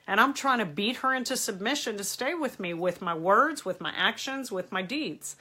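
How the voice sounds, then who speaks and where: A woman's voice: medium pitch, expressive, moderate pace, bright, forceful tone. A middle-aged woman with a cold and a stuffy voice, earnestly giving advice on a live stream and answering viewers' comments. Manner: earnest, emphatic, reads comments aloud. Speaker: host answering viewer questions.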